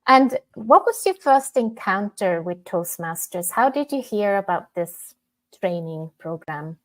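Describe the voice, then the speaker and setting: A woman hosting a podcast, reading a prepared introduction in a measured way and then asking questions. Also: medium pitch, expressive. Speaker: podcast host. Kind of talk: scripted podcast introduction and interview.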